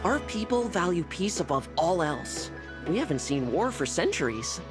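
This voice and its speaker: A bouncy, lively young prince. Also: male voice, high-pitched, moderate pace, music in the background. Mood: cheerful, friendly. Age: young adult. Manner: chatty, polite, upbeat. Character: friendly, buoyant, kindhearted young prince. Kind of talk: scripted video game voice acting.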